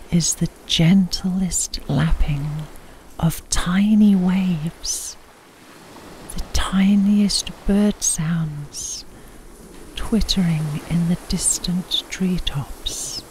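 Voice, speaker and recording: A woman leads a guided relaxation in a hushed, breathy voice pitched a little low. She speaks extremely slowly, in short scripted phrases with long pauses. A faint ambient noise sits behind her. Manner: soft, whispery, breathy delivery with long pauses. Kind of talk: slow guided sleep meditation, scripted narration.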